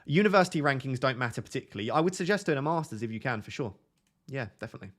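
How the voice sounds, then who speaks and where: Young man, monotone, casual live-stream host, self-deprecating. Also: medium pitch, very fast. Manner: self-deprecating, chatty, reads out chat messages. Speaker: livestream host. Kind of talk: casual live-stream chat, answering viewers.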